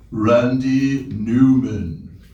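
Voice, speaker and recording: Lonely, anxious male role, read by a man: awkward, hesitant, slow delivery, recorded off-mic in a roomy space. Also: medium pitch, warm tone, highs cut off. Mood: weary, exasperated. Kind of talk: live table read of a comedy screenplay. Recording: echoey room, distant mic, faint noise.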